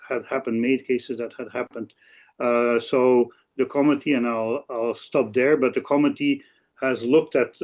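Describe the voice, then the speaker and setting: A man in his sixties from Belgium, a native Dutch speaker with a Belgian Dutch accent, speaking haltingly as a law professor introducing his work on a webinar. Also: mid-range voice, darkish tone. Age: 60s. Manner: halting, with frequent hesitations and repeats. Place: office.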